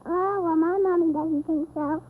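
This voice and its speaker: A man's voice: very high-pitched, steady tone, normal speed, a little hiss or hum, pitched above the performer's own voice. A man voicing a cheeky, innocent, literal-minded little girl in a 1930s radio comedy act, full of naive questions. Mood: innocent, inquisitive. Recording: tinny 1930s radio recording, music.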